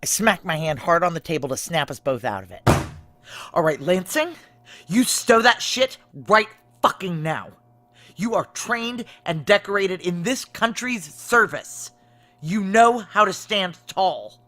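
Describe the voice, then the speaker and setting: A woman's voice: fairly low pitch, expressive, a little slow, a bit bright. An actress playing a tough, weary female military chief in a noir audio drama, blunt, clipped and commanding as she acts and narrates. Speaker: narrator and lead actor.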